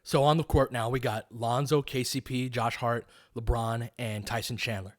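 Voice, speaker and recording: A man's voice: mid-range voice, fairly even intonation, moderate pace. A man calling live basketball play-by-play in a casual, conversational, analytical way. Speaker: play-by-play host.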